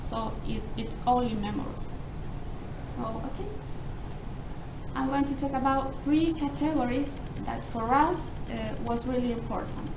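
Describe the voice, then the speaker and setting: Young woman, slow halting thesis talk, dull noisy roomy recording. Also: fairly high pitch. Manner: halting, repeats words while searching. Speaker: thesis presenter. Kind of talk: academic presentation. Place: presentation room. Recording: echoey room, dull sound, noisy.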